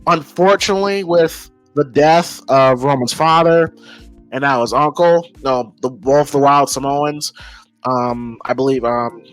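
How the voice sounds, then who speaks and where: A man's voice: medium pitch, unhurried, clear, resonant tone, faint music behind, rough recording. An American man giving casual, emphatic opinion commentary as a podcast host, informal and often repeating phrases as he thinks aloud.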